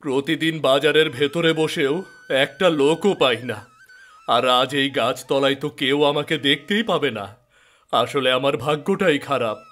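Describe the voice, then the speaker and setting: A man's voice: mid-range voice, moderate pace, a bit bright, faint music behind, close to an everyday voice. A man voicing a downtrodden, earnest village cobbler in a fairy-tale cartoon, plaintive and resigned. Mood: aggrieved, dejected.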